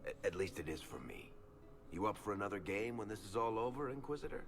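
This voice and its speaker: A man's voice: on the low side, animated, quick pace, music in the background, close to an everyday voice. A man playing an easygoing, genial storyteller companion in a video game, talking in a wry, conversational way. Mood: wry, friendly. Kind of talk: video game dialogue acting.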